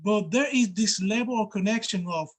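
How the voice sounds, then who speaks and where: High-voiced man, panelist on a video call, earnest, explains with examples. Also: normal speed. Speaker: panelist, care coordinator. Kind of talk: casual panel discussion.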